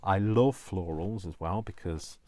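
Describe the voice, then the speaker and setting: A man with a deep voice chatting casually as he hosts a craft video, playful and self-deprecating, rambling off into asides. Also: measured pace, a little mellow, slight background noise.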